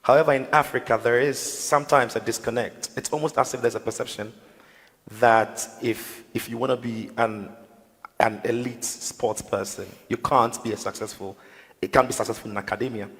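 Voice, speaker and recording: A man hosts a conference panel in a mid-pitched voice and asks questions at a quick, conversational pace. Reverb lingers in the hall around him. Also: steady tone, fairly bright tone. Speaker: panel host. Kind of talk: moderating a panel.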